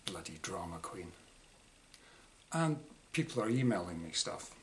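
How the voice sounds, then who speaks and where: A man's voice: deep voice, sing-song, a little slow. A man reading his own first-person diary short story aloud in a wry, exasperated, dramatised way. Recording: clear background noise.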